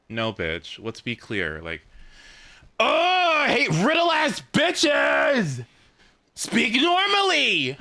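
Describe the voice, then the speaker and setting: A man's voice: medium pitch, sharp tone. A man giving casual live-stream commentary on a game, blunt and sweary. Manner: blunt, sweary, exasperated outbursts.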